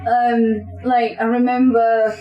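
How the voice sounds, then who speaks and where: A woman's voice: on the high side, little pitch movement, takes it slowly, muffled recording, background noise. A woman in her thirties from Portugal hosting a casual podcast interview, chatty, with many fillers. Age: 30s. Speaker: podcast host. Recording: loud music behind.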